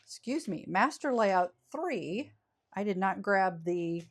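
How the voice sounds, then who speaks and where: A woman's voice: mid-range voice, expressive, slow pace. A woman hosting a craft channel, talking through a step-by-step card-making tutorial with a scratchy voice from a cold, chatty and easygoing, often correcting herself.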